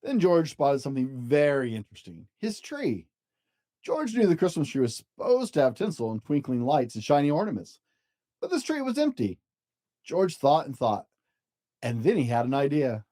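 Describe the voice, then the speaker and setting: A storyteller reading a children's picture book aloud in an expressive, gentle way, as if to young children. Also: mid-range voice, moderate pace.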